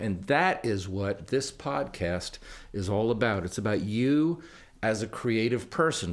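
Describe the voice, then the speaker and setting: American male podcast host, medium pitch, conversational and earnest, storytelling delivery with rhetorical questions. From Kentucky, United States.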